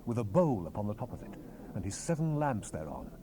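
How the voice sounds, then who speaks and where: A man narrating scripture in a solemn, measured, theatrical manner, as part of a dramatized Bible recording. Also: on the low side, very animated. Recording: hissy 1970s cassette rip.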